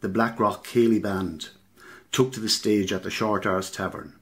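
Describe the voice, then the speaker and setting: A man's voice: on the low side, moderate pace, a bit bright. Irish man narrating, roomy and distant. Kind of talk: scripted narration of a radio play. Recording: roomy, distant mic, background noise.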